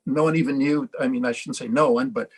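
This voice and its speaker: A man on a community panel speaking reflectively, pausing and restarting as he thinks. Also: mid-range voice, fairly even intonation, breathy edge. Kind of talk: panel discussion over video call.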